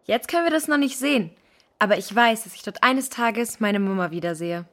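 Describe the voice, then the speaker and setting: A woman's voice: on the high side, animated, fairly quick, close to an everyday voice. A woman playing an earnest, sincere young girl who has lost her mother, in a children's audio drama. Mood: earnest, hopeful. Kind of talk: German children's radio play acting.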